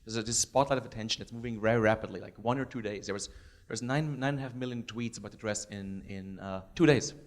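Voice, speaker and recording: Brief: hoarse male academic, rapid and eager, handheld mic in a lecture room. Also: fairly low pitch. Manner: fast, enthusiastic, many restarts. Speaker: psychology professor. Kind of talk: panel discussion, audience member. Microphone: handheld microphone.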